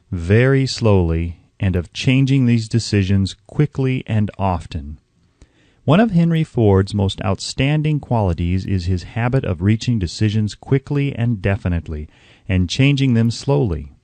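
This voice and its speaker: A man reading an audiobook as a narrator, in a measured, instructive way with deliberate emphasis. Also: fairly low pitch, darkish tone, no room sound.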